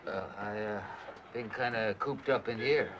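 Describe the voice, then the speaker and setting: An actor playing a hapless, idle gold miner in a folksy television western, with a halting way of talking. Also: male voice, on the low side, close to an everyday voice. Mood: sheepish, dejected. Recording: noisy VHS tape transfer.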